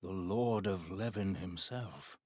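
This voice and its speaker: Courteous male scholar, video game drama, ornate archaic speech, lowish pitch, dry close sound. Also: slow pace, a little mellow, dry recording. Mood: awed. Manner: formal, archaic, ornate phrasing. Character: courteous, solemn male scholar absorbed in research. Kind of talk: theatrical video game voice acting.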